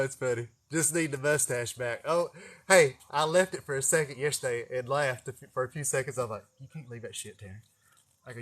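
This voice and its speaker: A man with a fairly high voice and a Southern drawl from East Tennessee talks casually to a live audience online. He rambles from one story to the next in a folksy, salty, cussing way. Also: fairly bright tone. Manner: folksy, profane, chuckling storyteller. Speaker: snake catcher hosting a live video. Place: greenhouse garden.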